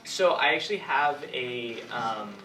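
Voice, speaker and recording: A young man answering questions informally as a writer, haltingly thinking aloud and hedging as he goes. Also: medium pitch, expressive, bright, forceful tone. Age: young adult. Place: indoor room with an audience. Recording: echoey room from far off, clear background noise.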